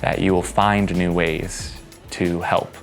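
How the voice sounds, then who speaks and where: A man's voice: deep voice, flat delivery, slow, soft background music. A man who directs movement on a film, speaking earnestly and reflectively in a behind-the-scenes interview.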